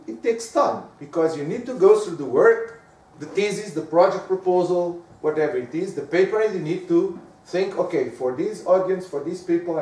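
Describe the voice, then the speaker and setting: High-voiced Portuguese man lecturing in an echoey room. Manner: lively, talks to the audience with frequent rhetorical questions. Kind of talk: lecture on giving presentations. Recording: echoey room, speaker far from the mic.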